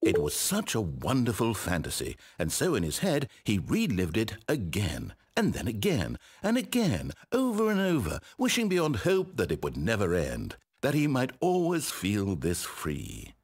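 A man's voice: on the low side, huge pitch swings, unhurried, few pauses, breathy edge, full character voice. A man with a British accent playing a wry, condescending, controlling narrator in a game, eloquent and theatrical. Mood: wistful storytelling. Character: wry, exasperated, controlling male storyteller narrator. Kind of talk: theatrical scripted storybook narration.

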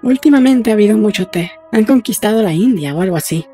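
A woman's voice: medium pitch, expressive, fast talker, mellow, soft background music, natural voice. A woman playing a playful, defiant young woman in love in a dramatised audiobook, speaking with intimate assurance. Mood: casual.

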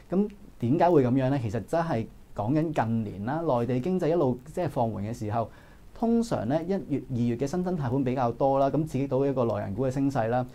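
A man in his thirties with a Hong Kong Cantonese accent, giving brisk analytical stock market commentary in a studio. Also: medium pitch, mellow. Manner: brisk, analytical, frequent filler particles. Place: indoor studio.

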